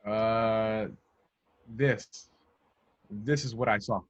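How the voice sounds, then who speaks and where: A man's voice: fairly low pitch, steady tone, very slow, muffled recording. A man playing a young streetwise cop, bewildered but insistent, in an amateur table read of a film script. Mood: dazed.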